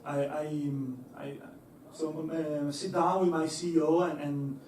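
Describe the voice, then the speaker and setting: A man's voice: on the high side, steady tone, a little slow, a little mellow, a little hiss or hum. A young man presenting to an audience in a lecture hall, with many fillers and restarts. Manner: hesitant, many fillers and restarts, laughs easily. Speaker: engineer giving a talk. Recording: echoey hall, voice from far off.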